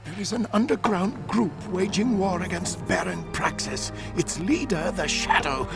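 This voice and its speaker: An actor playing a solemn, wise guardian in a video game, earnest and formal. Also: male voice, high voice, exaggerated voice. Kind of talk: video game dramatic acting.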